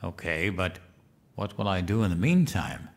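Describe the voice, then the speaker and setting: A man acts out a naive American politician newly elected president in a radio-style comedy. His voice is gravelly and swings freely in pitch. He is bumbling and hesitant, earnestly missing the point. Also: animated, moderate pace. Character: dim-witted, naive male president-elect. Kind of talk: comedic audio drama acting.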